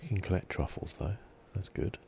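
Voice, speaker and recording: Super deep croaky guy doing soft asmr gameplay chat, half whispering, kinda understated, slight bg noise. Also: lively intonation. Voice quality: soft-spoken, often slipping into whisper. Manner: unhurried, understated musing to himself. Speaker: gaming channel host. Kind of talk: ASMR video game playthrough commentary.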